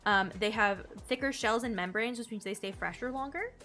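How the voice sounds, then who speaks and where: A woman's voice: fairly high pitch, moderate pace, sharp tone, soft background music. A young woman with a slightly nasal, congested voice, chatting casually and slangily with her viewers while playing a game. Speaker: game streamer. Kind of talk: casual gameplay chat to stream viewers.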